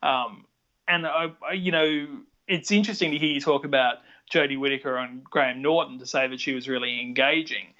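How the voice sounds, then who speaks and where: A man's voice: medium pitch, a little fast, sharp tone. An older man with an Australian accent, chatting casually on a podcast, rambling with many restarts. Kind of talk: casual chat. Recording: dull lo-fi sound.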